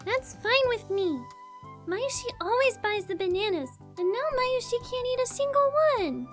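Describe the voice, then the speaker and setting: A sweet, childlike anime-style teenage girl with an extremely high voice. She sounds cutesy, naive and guileless, with faint music underneath. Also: lively intonation, moderate pace. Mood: innocent. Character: innocent, sweet, childlike teenage girl, speaks in third person. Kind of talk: visual novel fan dub acting.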